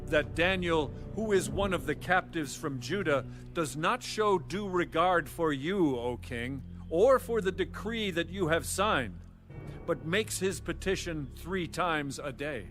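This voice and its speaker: A man's voice: on the high side, animated, unhurried, a bit bright, faint music behind. An actor playing an accusing male court official, formal and pointed, in an audio Bible drama.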